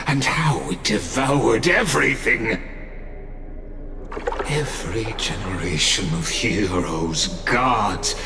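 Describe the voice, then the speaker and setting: An actor playing a grandiose, gloating villain in a video game cutscene, speaking slowly and theatrically with mocking laughter. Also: male voice, on the low side, heavily acted. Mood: cold, lecturing. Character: grandiose male villain, gloating scientist-god. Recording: echoey with loud music behind.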